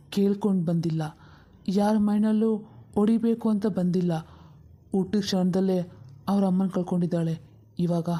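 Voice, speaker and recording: Woman voicing an earnest, mellow-toned pleading younger brother. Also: mid-range voice, close to an everyday voice. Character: earnest, compassionate younger brother pleading for his half-sister. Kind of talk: dramatic cartoon story dialogue.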